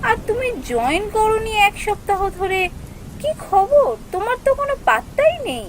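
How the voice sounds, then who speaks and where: A woman playing an anxious young female office worker in a cartoon story, speaking in an expressive, emotional way. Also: very high voice, normal speed, a little hiss or hum, exaggerated voice, low-quality recording. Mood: startled, concerned. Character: anxious young female office worker Soumi, telling her ghost encounter. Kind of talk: dramatic scripted story narration and dialogue.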